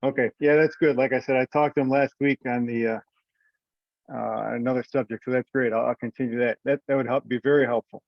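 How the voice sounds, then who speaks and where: A man's voice: medium pitch, fairly even intonation, a little fast, creaky, no echo. A man leading an informal discussion on a remote conference call. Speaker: meeting leader. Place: remote teleconference. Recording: muffled conference call audio.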